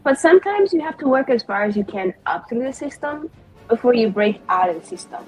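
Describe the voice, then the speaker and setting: A woman's voice: fairly high pitch, big pitch swings, brisk, husky, faint music behind, rough recording. A woman playing a confident, spiteful female elven mage in a tabletop game, brash and foul-mouthed in manner. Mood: defiant.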